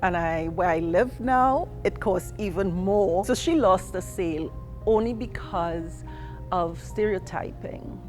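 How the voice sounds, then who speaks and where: A middle-aged woman from Trinidad and Tobago speaking candidly about her life as an immigrant, mimicking the people she quotes in lively asides. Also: lowish voice, slow, faint music behind. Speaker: interviewee. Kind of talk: personal testimony.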